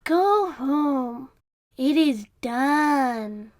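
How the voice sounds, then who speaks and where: A woman voicing a magical sea turtle in a storybook, with a drawn-out, stately delivery. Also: high voice, animated, a little mellow, dry recording, clean studio sound, pitched above the performer's own voice, heavily acted. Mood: solemn. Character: magic sea turtle, slow and calm, granting wishes. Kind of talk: storybook read-aloud character voice.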